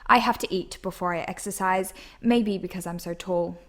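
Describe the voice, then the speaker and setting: A woman's voice: fairly low pitch, moderate pace, natural voice. A woman playing a rich man's defensive, earnest daughter giving testimony in a radio mystery play. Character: defensive, principled tall daughter of a murdered tycoon.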